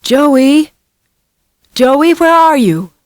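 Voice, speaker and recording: A woman's voice: sits high, lively intonation, very slow, no room sound, voice pushed up, full character voice. A woman plays an anxious, protective mother in an audiobook thriller. Her voice is raised and urgent as she calls out, close and dry. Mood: urgent, worried. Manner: urgent, calling out.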